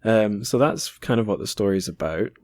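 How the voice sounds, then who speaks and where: A man's voice: lowish voice, animated, moderate pace, a little mellow, slight background noise. A man hosting a podcast in casual interview chat, informal and a little self-deprecating. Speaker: podcast host.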